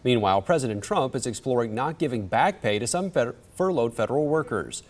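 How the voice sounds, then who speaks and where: A man anchoring a local newscast, reading the news in a steady, measured way. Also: medium pitch.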